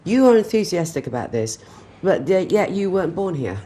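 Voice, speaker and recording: A woman born in Britain, a TV presenter asking questions in a chatty, animated way. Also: lowish voice, brisk. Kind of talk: TV interview.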